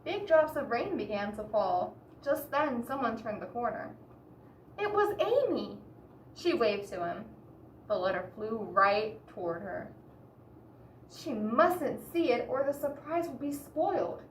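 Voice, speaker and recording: A woman reading a picture book aloud expressively to children, pausing to explain and ask the listeners questions. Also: on the high side, sing-song. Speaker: children's story reader. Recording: roomy echo, distant mic, background hiss.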